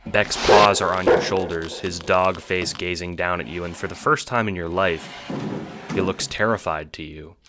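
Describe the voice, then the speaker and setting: A man's voice: low voice, fairly even intonation, moderate pace, very noisy. A man narrating an audio drama in a dramatic, scripted storytelling style, with music behind him.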